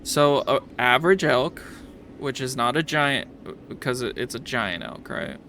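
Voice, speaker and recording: A man acts as game master, talking through rules and describing scenes off the cuff. His voice sits at an ordinary middle pitch. There is faint noise behind him. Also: lively intonation, unhurried, sharp tone. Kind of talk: improvised tabletop game narration and rulings.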